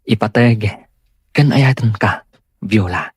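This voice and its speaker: Aktor a kas napudno a baro nga agay-ayat. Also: male voice, fairly low pitch, takes it slowly, slightly rough, no echo, clean studio sound. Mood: loving, sincere. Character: earnest, devoted young suitor, a simple man. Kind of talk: Ilocano comedy radio-style drama acting.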